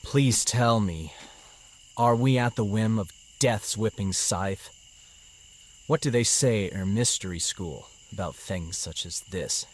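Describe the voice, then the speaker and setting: An actor playing a despairing, bitter young male farmer, brooding and heavy in an audio drama. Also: fairly low pitch. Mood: anguished doubt. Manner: slow, brooding, heavy delivery.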